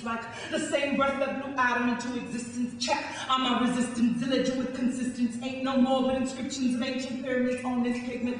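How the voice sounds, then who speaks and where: A woman's voice: high-pitched, fairly bright tone. A young woman, a spoken word poet performing live for an audience. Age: young adult. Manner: dramatic, rhythmic, emphatic delivery when reciting. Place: large indoor hall before an audience. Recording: echoey hall from far off, noisy.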